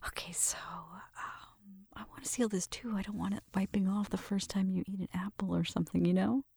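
Breathy, whispery flirty roommate played by a woman. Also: mid-range voice, steady tone, normal speed, no echo. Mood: gentle, focused. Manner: soft whispering mixed with murmured talk, shy and teasing. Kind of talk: ASMR roleplay, intimate one-sided conversation.

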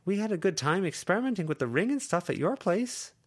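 A man voicing a quiet, flippant teenage girl who speaks flatly in an audiobook. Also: sits high, fast talker, breathy edge, voice pushed up, full character voice. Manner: quiet, flat, mildly flippant.

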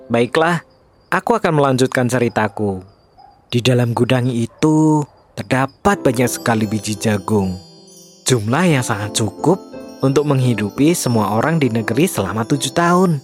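A man's voice: mid-range voice, lively intonation, normal speed, soft background music, natural voice. A man playing a clever, polite young captive who tells stories earnestly in a children's storybook drama. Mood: storytelling.

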